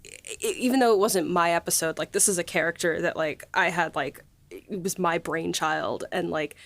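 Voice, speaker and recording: A woman hosting a casual behind-the-scenes podcast chat, speaking effusively and quick to laugh. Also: fairly low pitch, gravelly, a bit bright, no room sound. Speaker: podcast host and audio drama writer.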